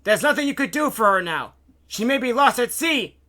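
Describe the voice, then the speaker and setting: A man's voice: extremely high pitch, animated, normal speed, bright, forceful tone, pitched above the performer's own voice, full character voice. A man voicing a bullying sailor in an adventure story, barking threats. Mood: angry. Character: gruff, bullying sailor in a lifeboat.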